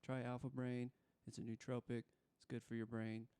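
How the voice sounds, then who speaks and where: A man's voice: mid-range voice, monotone, normal speed, mellow, clean tone, no room sound. A man hosting a casual interview podcast, talking in a laid-back, slangy way. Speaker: podcast host.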